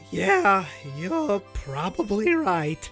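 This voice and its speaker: A washed-up, bitter little fairyland guide, with a gravelly, bright voice that swings wildly up and down. He gripes and whines with theatrical self-pity in a comic dub. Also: high voice, sing-song, extremely slow, faint music behind, exaggerated voice. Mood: weary. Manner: foul-tempered, whiny, dramatic griping.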